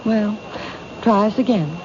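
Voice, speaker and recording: An actress playing a polite, reserved bookshop owner in a 1970s radio drama, courteous and restrained. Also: female voice, mid-range voice, unhurried, close to an everyday voice. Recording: dull old radio recording, light noise.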